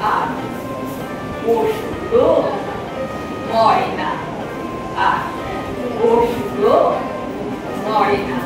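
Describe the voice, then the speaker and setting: A woman giving a dramatic poetry recitation in measured, declamatory phrases with pauses. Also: fairly high pitch. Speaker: recitation performer. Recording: very echoey, distant mic, music behind.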